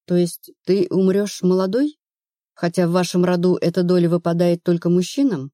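A woman narrator playing a brisk, rational female investigator in an audiobook, blunt and assured. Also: on the low side, little pitch movement, dark tone, no echo, clean studio sound, natural voice. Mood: probing. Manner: matter-of-fact, assured.

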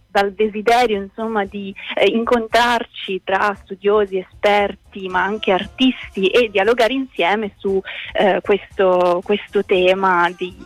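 A woman's voice: mid-range voice, no room sound, poor audio. Hesitant woman interview guest, dry close sound. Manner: hesitant, searching for words, repeating small words. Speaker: interview guest, project curator. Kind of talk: unscripted radio interview.

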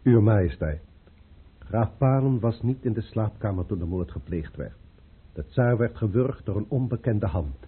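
An actor playing a loyal courtier officer in a radio drama, speaking formally and earnestly. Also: male voice, on the low side, expressive, moderate pace, husky. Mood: earnest, urgent. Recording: muffled, narrow-band old radio play recording.

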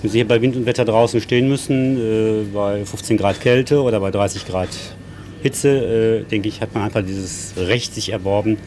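Male stallholder, low register, colloquial and matter-of-fact interview talk. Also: fairly even intonation, moderate pace, darkish tone, a little hiss or hum. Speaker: market stall trader.

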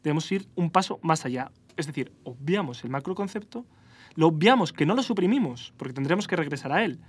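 A man's voice: a little high, brisk, breathy edge. A man with a Spanish accent hosting a podcast debate on art, explaining ideas at length and often correcting himself. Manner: explanatory, self-correcting, animated. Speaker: podcast host. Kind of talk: philosophical debate.

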